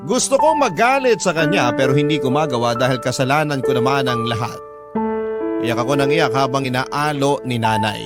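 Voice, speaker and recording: A man's voice: medium pitch, brisk, close to an everyday voice. An actor playing a regretful young father narrating his story in a radio drama, with a Filipino accent. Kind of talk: scripted first-person drama narration. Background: loud music.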